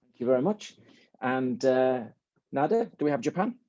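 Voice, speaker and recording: A man with a soft-edged, mellow voice moderates a student video call. They speak informally and hesitantly, rewording as they go. The sound is dry and slightly dull. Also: mid-range voice, animated, normal speed, no room sound. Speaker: host and moderator of a student symposium.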